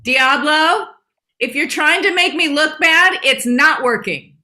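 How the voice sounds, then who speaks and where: A woman voicing a bossy, stubborn, self-assured dog in an animated comedy table read, insistent in manner. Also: high-pitched, unhurried, full character voice.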